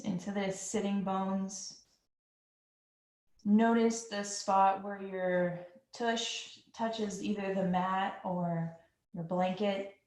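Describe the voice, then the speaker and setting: A woman teaching a yoga class, guiding breathing in a slow, calm and soothing manner. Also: medium pitch. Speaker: yoga instructor. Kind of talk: guided yoga breathing instruction. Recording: echoey room.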